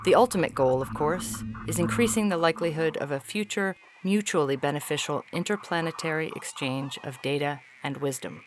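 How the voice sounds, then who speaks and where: A low female voice from an actress as an alien officer filing field reports in an audio story. The delivery is stiff, clinical and precise, faintly weary and homesick. There is slight noise behind the recording. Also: brisk, hardly any pauses, natural voice. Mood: formal, official. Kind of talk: scripted audio fiction reading.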